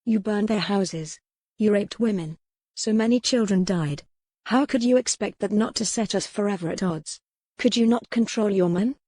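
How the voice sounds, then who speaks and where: A woman's voice: mid-range voice, moderate pace, mellow, no echo, lightly acted. A woman voicing a proud, fierce young noblewoman in a historical romance audiobook, with impassioned, formal archaic speech. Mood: anguished, accusing.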